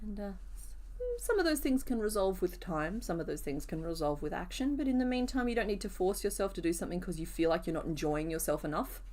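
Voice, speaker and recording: Woman host, crafting live stream, voice a bit hoarse today, chatty and easygoing with her chat. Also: on the low side, expressive, fast talker, dark tone. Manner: chatty, answers viewers' comments as she works. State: rough, hoarse voice. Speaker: crochet streamer. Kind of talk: casual live-stream chat while crocheting.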